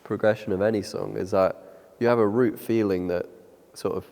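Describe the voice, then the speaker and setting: A man hosting a talk show, agreeing readily and steering the chat with quick asides. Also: lowish voice, steady tone, gravelly, a little mellow. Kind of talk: casual interview chat. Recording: studio with long reverb.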